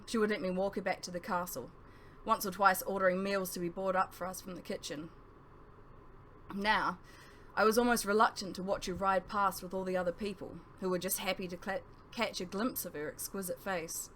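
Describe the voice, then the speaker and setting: A woman reading a first-person novel aloud as scripted narration, with emphatic phrasing and dramatic pauses. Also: mid-range voice, fairly bright tone, slight background noise, low-quality recording.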